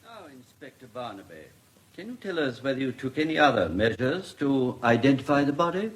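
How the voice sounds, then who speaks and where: A man playing a courteous, formal English coroner presiding over an inquest in a radio drama, with measured courtroom diction. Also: medium pitch, sing-song, a little hiss or hum, lightly acted. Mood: courteous, probing.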